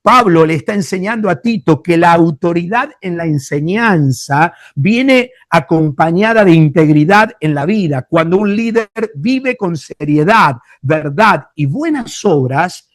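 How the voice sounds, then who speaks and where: A male pastor with a Rioplatense Spanish accent teaches from the Bible in a high, bright-pitched voice. He speaks emphatically, hesitates often, and addresses his listeners fondly. The recording is close and dry, with no room echo.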